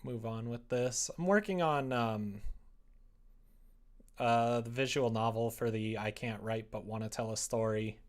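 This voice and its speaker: A young man hosts a casual livestream while he works. His voice sits at an average pitch for a man. He talks in an offhand, sardonic way, grumbling and putting himself down. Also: a little slow. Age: young adult. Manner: self-deprecating, sardonic grumbling. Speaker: livestream host.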